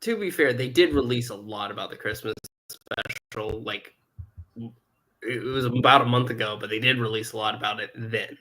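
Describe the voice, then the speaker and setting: Man, bright forward voice, medium pitch, casual chat, stream co-host. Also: moderate pace, low-quality recording. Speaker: co-host of a fan live stream.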